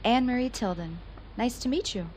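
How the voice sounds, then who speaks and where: A woman's voice: on the high side, moderate pace, a little mellow, slight background noise, natural voice, rough recording. A woman playing a teasing, friendly young university student in a radio play, wry and playful.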